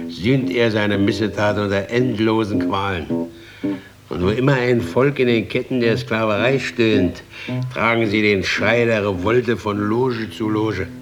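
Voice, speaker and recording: A sly wandering minstrel speaks in a lowish voice over clearly heard plucked strings. He is poetic and taunting, drawing out his tales like a radio-play storyteller. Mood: darkly incantatory. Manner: poetic, slow storytelling with mocking questions. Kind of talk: German radio drama acting. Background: plucked guitar-like string music.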